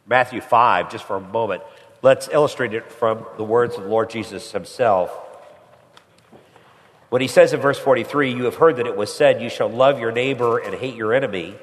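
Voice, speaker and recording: A pastor preaching a sermon with a stuffy head cold, climbing higher and louder when he presses a point. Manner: rises in pitch and volume when pressing a point. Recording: long hall reverb.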